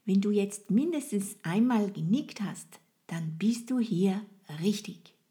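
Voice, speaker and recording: A woman with a French accent, a native French speaker, hosting a solo podcast in a gentle, inviting way and speaking straight to the listener. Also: mid-range voice, expressive, slow pace, noisy background. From France. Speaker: podcast host and French language trainer.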